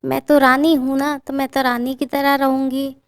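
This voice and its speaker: A woman's voice: high voice, little pitch movement, normal speed, no room sound, studio quality, voice pushed up. A woman voicing a spoiled young bride who acts like a haughty queen, talking in a whiny, demanding way.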